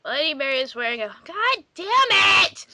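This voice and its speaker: A woman's voice: high-pitched, sing-song, moderate pace, heavy background noise. A woman reading aloud in an exaggerated, theatrical way as part of a group game. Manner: theatrical, exclaiming. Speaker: player in a dramatic reading game. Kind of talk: exaggerated dramatic reading among friends.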